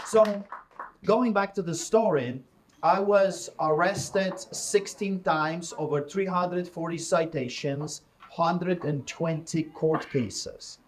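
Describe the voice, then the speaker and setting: A middle-aged man with a Polish accent, Polish his first language, preaching fiery and mocking to a crowd. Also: fairly high pitch. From Poland. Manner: forceful, mocking, rising to shouts. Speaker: street pastor.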